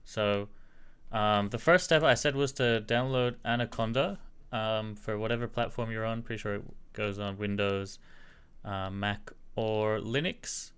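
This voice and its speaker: A man with a slight American accent, chatting casually while teaching a live-streamed software tutorial and answering viewers. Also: on the low side, fairly even intonation, a little slow, clear, resonant tone.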